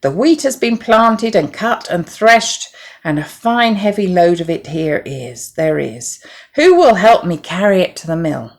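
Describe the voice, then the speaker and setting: A woman voicing an industrious, motherly little red hen in a storybook, speaking in a firm, emphatic, deliberate way. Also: on the low side, very animated, heavily acted. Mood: brisk, determined.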